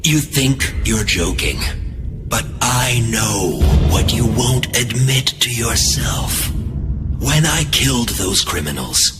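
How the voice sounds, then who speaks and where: A man's voice: medium pitch, animated, slow pace. An actor, a man, playing a deranged killer turned monstrous villain in a video game, gloating, menacing and fanatical. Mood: taunting. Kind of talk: video game cutscene acting. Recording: loud music behind the voice.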